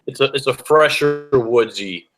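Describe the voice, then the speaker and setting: A man's voice: mid-range voice, measured pace, over music. A man, son of Cuban parents and a beard company owner, talking casually on a group video call and rambling with many restarts. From United States, Cuban parents.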